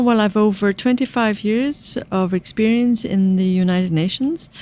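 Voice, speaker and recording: Soft-accented Irish woman, lowish voice. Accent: softened Irish. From Ireland. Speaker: UN finance director guest. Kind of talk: interview answers.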